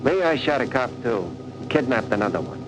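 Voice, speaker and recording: An actor playing a brazen jailbreaker and cop killer, bragging toughly in a 1940s radio drama monologue. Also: male voice, mid-range voice, sing-song, a little fast, over loud music, lightly acted, rough recording. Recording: vintage 1940s radio recording.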